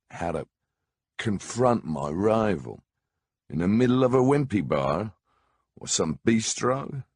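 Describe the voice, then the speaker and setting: A man's voice: sits low, creaky, husky, dry recording, clean studio sound. A man narrating a first-person memoir audiobook in a wry, conversational storytelling manner.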